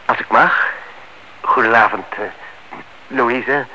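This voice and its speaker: A reserved, bright-voiced courteous man. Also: medium pitch, takes it slowly. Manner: polite, modest, hesitant. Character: reserved, courteous married man, evasive about absent wife. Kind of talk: radio drama acting in Dutch. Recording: dull, faintly hissy old radio recording.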